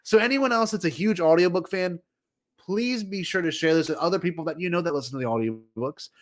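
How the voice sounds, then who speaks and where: Dude with a slightly high voice, easygoing fan podcast chat, friendly and agreeable. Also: male voice, a little fast. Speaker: podcast co-host.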